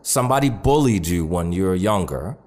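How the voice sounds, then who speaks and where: A man speaking as a podcast guest, explaining things in a measured way and checking in with "right?". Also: deep voice, expressive, darkish tone. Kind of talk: conversational advice on a podcast.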